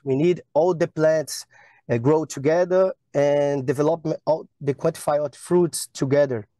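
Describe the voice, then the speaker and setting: A man's voice: fairly high pitch, dark tone, no room sound. A Brazilian-accented man in his thirties, a native Portuguese speaker, presenting on a webinar video call in simple, non-fluent English. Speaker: agronomist presenter.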